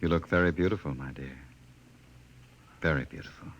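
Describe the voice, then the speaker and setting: An actor playing a smooth, domineering suitor and official in a 1950s radio drama, formal and condescending. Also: male voice, rumbling low, a little fast, lo-fi sound, slight background noise. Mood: suave, courting. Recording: old-time 1950 radio recording.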